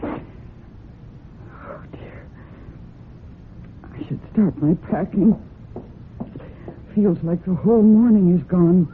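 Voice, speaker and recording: A woman's voice: lowish voice, very slow, long pauses. An actress playing an anxious, pleading young woman who owns a horse, in earnest old-time radio drama style. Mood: worried. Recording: muffled, noisy vintage radio broadcast.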